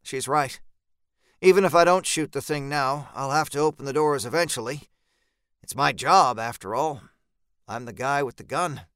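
A man reads scripted horror fiction in the first person, tense and dramatic. His voice is smooth and bright, recorded very dry, with deliberate phrasing. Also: medium pitch, no room sound. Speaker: story narrator.

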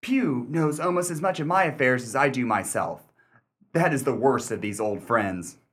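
A man acting as a shrewd, sardonic gentleman narrator in a mystery radio play, speaking with deliberate, theatrical emphasis and dry wit. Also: medium pitch, animated, breathy edge. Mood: sly.

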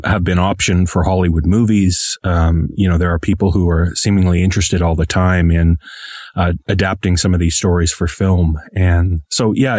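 A man's voice: sits low, a little mellow, no room sound, studio quality. A man speaking as an interviewed podcast creator, thoughtful and wordy, with a self-deprecating streak in conversation.

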